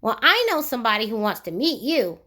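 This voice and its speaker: A woman's voice: on the high side, big pitch swings, a little fast, sharp tone, full character voice. A woman voicing a small, playful male goblin in a children's storybook, teasing and exclamatory.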